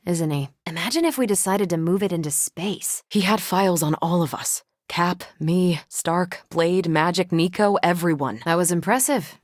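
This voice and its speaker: Low-voiced, confident wry superheroine played by a woman. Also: no echo, lightly acted. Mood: steady, conversational. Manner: dry, teasing, self-assured. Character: confident, wry superheroine soldier, loyal and battle-ready. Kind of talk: video game voice acting.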